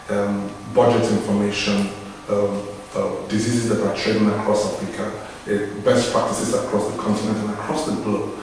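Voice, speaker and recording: A man's voice: lowish voice, darkish tone. A man who works as a health journalism fellow, speaking earnestly with many fillers and restarts in an interview. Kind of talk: recorded interview statement. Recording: echoey room, distant mic, faint noise.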